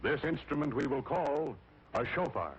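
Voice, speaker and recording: A man's voice: on the high side, moderate pace, lightly acted. A man voicing a commanding, solemn shepherd king with stately, declamatory speech in a vintage children's cartoon. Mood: grand, commanding. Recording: dull, noisy vintage cartoon soundtrack.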